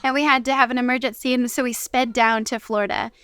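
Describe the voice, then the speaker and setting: A woman's voice: a little high, fairly even intonation, fast, a bit bright, clear, resonant tone, dry recording. An American woman telling personal stories casually as an interview guest. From Washington State, United States.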